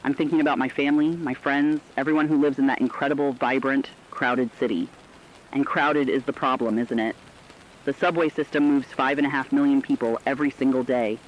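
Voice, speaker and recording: A man's voice: mid-range voice, steady tone, brisk, muffled recording, a little hiss or hum, natural voice. A man playing a concerned, compassionate radio co-host, giving scripted commentary in an earnest, emotive way. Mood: somber concern.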